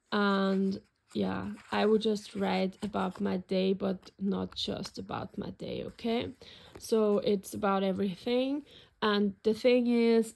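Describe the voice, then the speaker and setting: A woman's voice: medium pitch, vocal fry, darkish tone. A woman whose first language is German, casually narrating a journal flip-through in a rambling, hesitant way with many fillers. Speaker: journaling vlogger.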